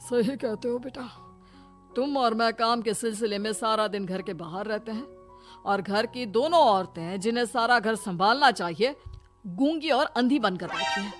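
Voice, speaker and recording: A woman voicing an older male head of the family in an animated moral story, explaining things in an instructive way. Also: a little high, normal speed, natural voice. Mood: concerned, plotting. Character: older male family patriarch, scheming, reproving, sensible. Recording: music under the voice.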